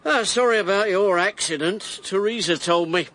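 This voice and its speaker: An actor playing a brash, cynical war hero turned election candidate in a radio drama, British, with a blunt, punchy delivery. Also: male voice, high voice, normal speed, a bit bright.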